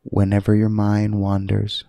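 A man leading a guided sleep meditation in a soothing, gentle manner, leaving long pauses between short phrases. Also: lowish voice, completely flat delivery, creaky, no echo.

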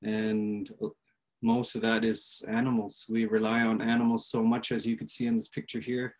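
A young man whose first language is Inuktitut, presenting his artwork on a video call, speaking slowly with many pauses, ums and uhs. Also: fairly low pitch, completely flat delivery, darkish tone. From Canadian Arctic. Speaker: artist. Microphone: video call, laptop mic.

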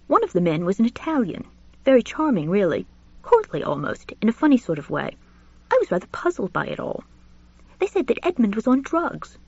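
A woman's voice: a little high, a little mellow, husky, dry recording, pitched above the performer's own voice, exaggerated voice. A woman voicing a courtly, fussy older male classics tutor in an audiobook, lilting and questioning. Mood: airily puzzled. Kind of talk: audiobook character voice. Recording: slightly dull audiobook audio.

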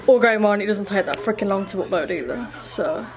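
A woman's voice: mid-range voice, brisk, soft background music. A young woman in her twenties from England, chatting in a rambling, slangy, sweary way to a camera held at arm's length in a hotel room. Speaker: vlogger. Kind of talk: casual vlog chat. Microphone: phone or camera at arm's length.

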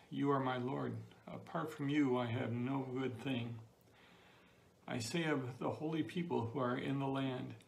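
A man's voice: medium pitch, vocal fry, poor audio. An older man reading scripture aloud as a church member, recorded on a phone at home. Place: living room at home. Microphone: phone at arm's length. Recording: home phone video with faint noise.

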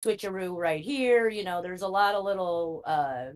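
Woman panelist, lowish pitch, blunt and forceful. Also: normal speed.

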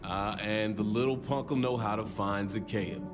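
Man acting low-voiced sardonic marine. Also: steady tone, a little slow, close to an everyday voice. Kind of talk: video game briefing dialogue. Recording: loud game music behind.

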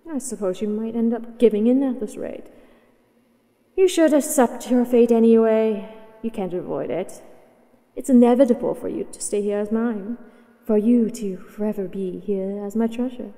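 A woman voicing a possessive female dragon in a roleplay audio, doting yet menacing, with slow, deliberate delivery. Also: mid-range voice, warm tone. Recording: long echoing reverb.

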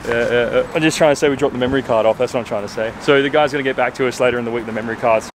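A man's voice: medium pitch, rapid-fire, noisy background. A young man hosting a sailing vlog, chatting casually and jokingly to a handheld camera outdoors. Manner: laid-back and jokey, calls people mate. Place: outdoors on a marina and city streets. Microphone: handheld camera at arm's length.

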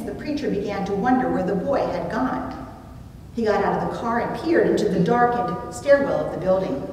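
A woman's mellow voice carries through a very reverberant church room, picked up from far off. She preaches in measured, deliberate phrases, telling stories expressively from a pulpit. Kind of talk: sermon with storytelling, read from notes. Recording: distant mic, very echoey room, rumbly noise.

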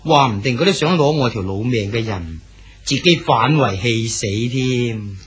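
A man's voice: mid-range voice, a little slow, slightly rough. An actor playing an exiled male poet official in his sixties, easygoing and consoling in a radio drama. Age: 60s. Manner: calm, good-humoured, consoling.

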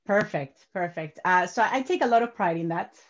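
A woman's voice: lowish voice, moderate pace. Woman from Peru on a video call. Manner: animated, repeats words while building sentences. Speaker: guest. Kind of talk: interview over video call. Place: home office. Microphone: webcam on video call. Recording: dull lo-fi video call audio.

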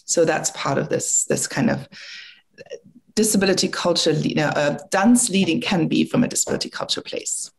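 German-accented older woman with gravelly voice. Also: low-pitched, normal speed, rough recording. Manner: reflective, thinking aloud with frequent restarts and fillers. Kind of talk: conversational talk. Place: home room, video call. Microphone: video call.

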